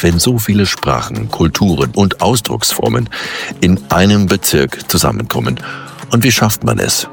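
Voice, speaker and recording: A man's voice: low voice, vocal fry. A man hosting a radio show, presenting from a script and putting questions to a guest. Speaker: radio show host and interviewer.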